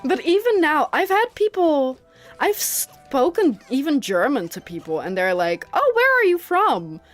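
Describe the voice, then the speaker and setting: A woman's voice: sits high, sing-song, measured pace, faint music behind. A young woman from the Netherlands, a native Dutch speaker, chatting casually and rambling with many fillers while streaming a game, often breaking into giggles. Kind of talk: casual chat while gaming.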